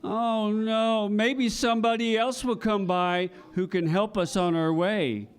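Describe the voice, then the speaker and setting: Man acting a high-pitched, pleading little train. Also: steady tone, measured pace. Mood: hopeful, pleading. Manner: chanting train sounds, repeating cries for help. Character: pleading, worried little toy train, young and eager. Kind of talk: children's live audio theater storytelling.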